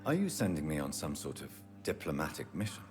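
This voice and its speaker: An actor playing a grave, courteous vampire doctor in a game, weary and principled, speaking in measured, formal phrases. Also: male voice, very deep voice, sing-song, darkish tone, soft background music. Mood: formal, deferential. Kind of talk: dramatic game dialogue and inner monologue.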